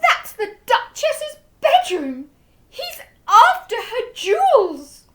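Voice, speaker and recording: A woman reading aloud, voicing an excitable, blustering old male duke in a storybook who bellows his exclamations. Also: takes it slowly, roomy sound, exaggerated voice. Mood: excited. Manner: booming exclamations.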